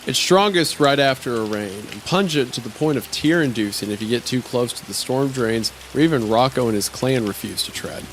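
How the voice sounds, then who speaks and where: A man's voice: medium pitch, fairly quick, hardly any pauses, a little hiss or hum. A man narrating a strange first-person horror-comedy story in a wry, conversational way. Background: faint music.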